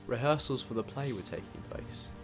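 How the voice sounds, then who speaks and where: A man's voice: medium pitch, expressive, normal speed, over music. A young man narrating a scripted recap of his year in a reflective, informal way. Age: young adult. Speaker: vlogger narrating a year in review. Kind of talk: scripted voice-over recap with casual asides.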